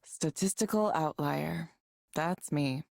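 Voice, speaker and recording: Casting: weary, guarded female engineer, game dialogue. Low register, gravelly fry, clipped deadpan, close dry sound. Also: slow, no room sound, exaggerated voice. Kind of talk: visual novel game voice acting.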